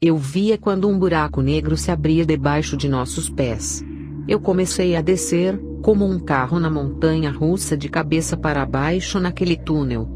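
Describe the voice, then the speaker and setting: A woman with a Brazilian accent reads a dramatic religious testimony as its narrator. Her voice sits quite low for a woman. Prominent music plays behind her scripted delivery. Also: darkish tone.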